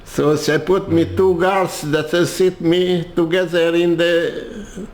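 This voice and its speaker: Older Hungarian-accented man, interviewee, slow and halting with many restarts, in a roomy, echoing space. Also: on the high side, level tone, a little mellow. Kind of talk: personal storytelling.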